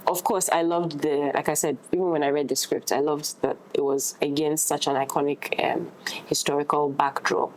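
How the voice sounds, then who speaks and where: A woman's voice: deep voice, flat delivery, normal speed, slight background noise, rough recording. A woman talking casually as an actress, repeating words for emphasis. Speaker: actress in discussion. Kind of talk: casual unscripted conversation.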